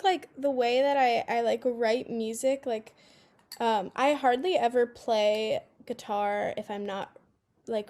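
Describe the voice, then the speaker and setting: A woman's voice: on the high side, darkish tone. A young woman speaking as a guest in a casual interview over a video call, talking chattily, filling her speech with fillers and laughing often. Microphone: video call, phone.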